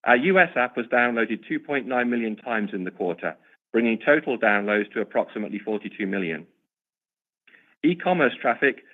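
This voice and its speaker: Male executive for corporate earnings remarks, mid pitch, formal and measured, heard over a narrow conference-call line. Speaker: company executive.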